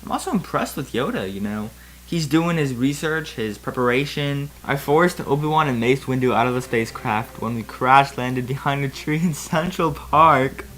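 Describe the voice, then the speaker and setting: A man's voice: medium pitch, lively intonation, normal speed, fairly bright tone, faint music behind, rough recording. A man hosting a comedic fan fiction reading, mixing theatrical, mock-serious narration with casual commentary.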